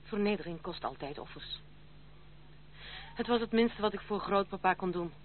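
A woman's voice: medium pitch, moderate pace, close to an everyday voice. An actress playing a proud, stern young woman in a Dutch radio drama, speaking formally and resolutely. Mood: composed. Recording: tinny, noisy old radio play recording.

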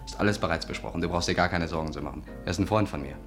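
An actor playing a smooth, self-assured schemer in a radio play, coaxing and confident. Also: male voice, deep voice, racing, a bit bright, soft background music. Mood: confident, persuasive. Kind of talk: 1950s radio drama acting. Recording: 1950s radio recording.

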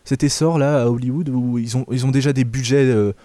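A man from France talks through a film in a casual review chat, stopping often on fillers and restarting his sentences. His voice is mellow and warm, at a middle pitch. The sound is dry and close, with no room around it. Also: steady tone, fairly quick, no room sound. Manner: hesitant, many fillers and restarts, opinionated. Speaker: film review show host.

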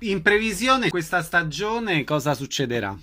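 A man's voice: sits high, sing-song, normal speed, sharp tone, a little hiss or hum. Man hosting a radio interview.

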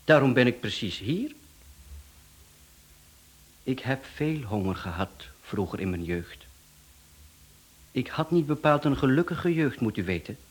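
A man's voice: medium pitch, big pitch swings, measured pace, pauses often, slightly rough. An actor in a radio play, a man with a Flemish accent, playing a mysterious, down-on-his-luck visitor who is polite but evasive and insistent. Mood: bitter, insistent.

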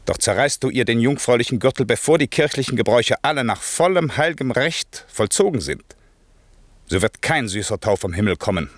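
A man's voice: lowish voice, fairly bright tone, dry recording, exaggerated voice. An actor playing a stern, commanding old magician and father in a radio play, speaking solemn, declamatory verse.

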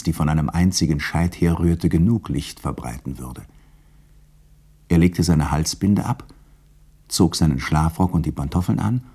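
A man's voice: low voice, a little mellow, breathy edge. A man narrating a 1960s radio drama as a dramatic scripted story. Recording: studio radio narration with faint music behind. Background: faint music.

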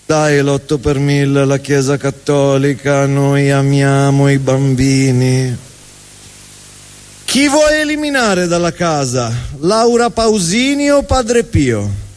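A man's voice: mid-range voice, lively intonation, takes it slowly. A man playing a slick, absurdly earnest television advert announcer, delivering parody slogans on stage. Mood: slick, earnest selling. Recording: reverberant hall, live stage.

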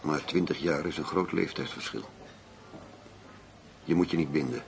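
An actor playing a blunt, world-weary middle-aged undercover detective in a radio drama. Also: male voice, very deep voice, moderate pace. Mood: subdued, confiding. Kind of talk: Dutch radio drama acting. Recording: radio play with clear background hum.

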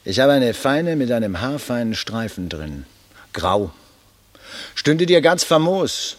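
An actor playing a stout, boisterous young medical student in a theatrical, teasing 1960s German radio drama style. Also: male voice, medium pitch, sing-song, takes it slowly. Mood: mocking banter. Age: young adult.

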